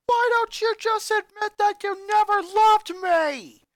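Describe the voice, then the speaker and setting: A man reading a fanfic aloud, voicing a furious eleven-year-old boy who yells out. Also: very high voice, slow, no echo, studio quality, voice pushed up, exaggerated voice. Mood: enraged. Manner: yelling.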